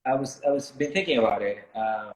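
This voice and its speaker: A man from Miami talking casually and reflectively as an interviewed artist, thoughtful and halting as he searches for words. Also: lowish voice. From Miami, United States, born in Ecuador. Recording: echoey room sound.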